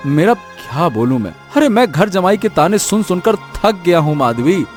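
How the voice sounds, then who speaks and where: A man voicing a kind, humble, hardworking young village son-in-law in a moral-story cartoon, with drawn-out, emphatic delivery. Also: high voice, very animated, faint music behind. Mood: weary, hurt.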